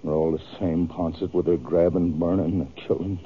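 An actor playing a wounded, confused, vengeful frontier farmer with husky, rambling, rustic speech in a 1950s radio western. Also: male voice, low voice, fairly even intonation, moderate pace, a little hiss or hum, natural voice. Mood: bitter, vengeful. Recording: muffled, tinny old radio recording.

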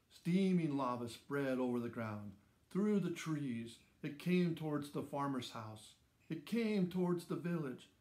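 Slow, echoey older man reading a story. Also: medium pitch. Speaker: storybook reader. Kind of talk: read-aloud children's story narration. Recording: roomy echo around the voice.

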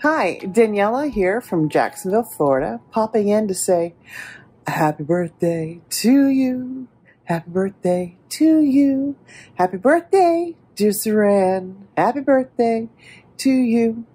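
Slow, husky-voiced woman. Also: medium pitch, animated, warm tone, faint music behind. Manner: unhurried, sings birthday song. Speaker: fan sending birthday wishes. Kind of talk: casual video greeting.

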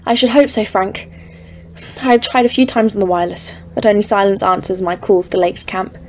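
A woman's voice: medium pitch, steady tone, brisk, darkish tone, lightly acted. Solemn, haunted woman expedition leader played by an actress, noisy backdrop. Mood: worried, resolute. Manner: solemn, literary, foreboding. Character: haunted woman expedition leader of thirty-four, grave, narrating. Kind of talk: scripted audio-drama narration and dialogue. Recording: clearly noisy, ambient background.

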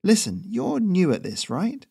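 A cocky, cheerful postman with a much higher, louder voice that bounces all over. A man puts him on while narrating a storybook tale. He teases and jokes in a chummy, carefree way. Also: wildly swooping pitch, unhurried, gravelly, breathy edge, exaggerated voice. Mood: breezy. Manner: chummy, teasing and upbeat. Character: breezy, cocky male goose-riding postman.

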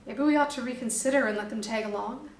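Eager young snake prince, voiced by a woman, bright-pitched, distant roomy sound. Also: fast talker, a little hiss or hum, close to an everyday voice.

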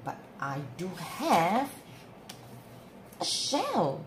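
A woman's voice: fairly low pitch, huge pitch swings, extremely slow, full character voice. A woman voicing a stubborn young female tortoise in a plaintive, defiant way for a storybook. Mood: sad, discouraged. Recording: roomy with clear background noise.

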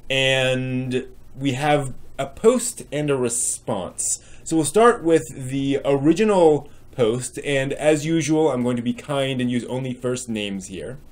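A middle-aged man hosting and giving a dramatic reading with commentary, using expressive, theatrical emphasis. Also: medium pitch, unhurried. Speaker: host and reader. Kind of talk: dramatic reading of old online posts with explanatory commentary. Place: small home room with bookshelves, indoors.

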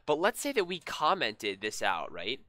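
Young man, slightly hoarse, bright forceful voice, dry close headset sound, casual coding tutor. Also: mid-range voice, normal speed, dry recording. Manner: relaxed, many ums and restarts. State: slightly hoarse from being sick. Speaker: programming tutorial host. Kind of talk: casual screen-share coding lesson.

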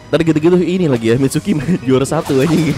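A young man with a stuffy, blocked nose giving excitable, slangy play-by-play of a fighting game and talking straight to his viewers. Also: fairly high pitch, quick pace. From Indonesia. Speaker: gaming commentator. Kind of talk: casual gameplay commentary. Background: game music.

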